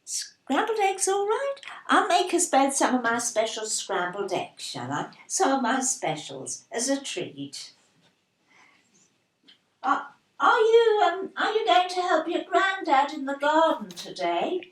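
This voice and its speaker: A woman's voice: sits high, wildly swooping pitch, takes it slowly, heavily acted. An actress playing an anxious, tender, emotionally fragile English mother, hesitant and pleading, in an audio play.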